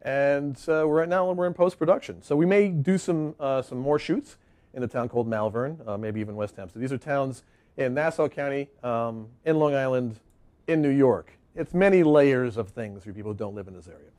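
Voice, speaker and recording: A man's voice: mid-range voice, moderate pace, mellow. A man casually hosting a web show in a chatty, rambling way as a filmmaker. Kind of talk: casual presenting to viewers.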